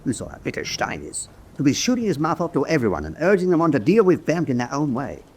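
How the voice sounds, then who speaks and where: An actor playing a hard-nosed, cynical officer in a wartime radio drama, blunt and skeptical. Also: male voice, on the high side, very animated, fast, breathy edge. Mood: urgent, warning.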